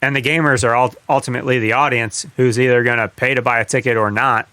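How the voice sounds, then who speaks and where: A man's voice: mid-range voice, fairly even intonation, fast talker, bright, forceful tone, dry recording. A man co-hosting a podcast in casual conversation, speaking bluntly and swearing freely. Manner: blunt, profane. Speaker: podcast co-host. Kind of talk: casual chat.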